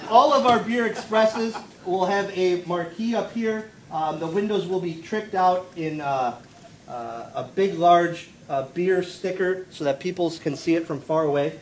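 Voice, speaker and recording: A man giving an informal presentation at a live press event, speaking in a halting, jokey way. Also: high voice. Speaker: food and beverage presenter at a press conference. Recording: clearly noisy live event with room ambience.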